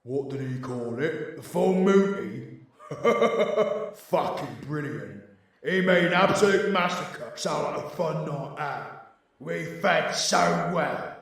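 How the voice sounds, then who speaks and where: A man playing a rough, laddish, hungry werewolf henchman in an audio drama, with a gravelly British voice. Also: on the high side, animated, slow, heavy reverb, recorded from a distance, full character voice. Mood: gleeful.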